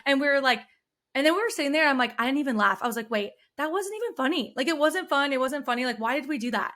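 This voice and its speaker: A woman hosting a podcast in casual chat, her voice a bit hoarse, telling stories in a bubbly, animated way. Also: high voice, very fast. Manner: bubbly, animated storytelling with many "like" fillers. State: slightly hoarse. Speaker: podcast host, career coach.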